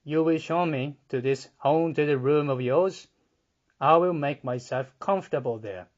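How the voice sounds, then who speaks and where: Man acting a firm, raised-voice gentleman. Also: a little high, unhurried, highs cut off, close to an everyday voice. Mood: firm, defiant. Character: confident, open-minded gentleman visitor, defiant and brisk.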